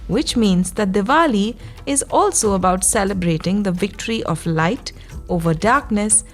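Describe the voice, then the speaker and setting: A woman tells a children's bedtime story in a gentle, soothing way. Her voice sits at a middle pitch. Soft music plays behind her as she speaks directly to young listeners. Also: lively intonation. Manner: slow, soothing guidance addressed to young children. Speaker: children's storyteller and yoga guide.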